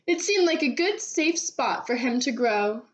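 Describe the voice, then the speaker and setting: A woman reading a children's storybook aloud expressively, with animated delivery and drawn-out phrase endings. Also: high voice, a little hiss or hum. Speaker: read-aloud storybook reader. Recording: dull, lo-fi sound.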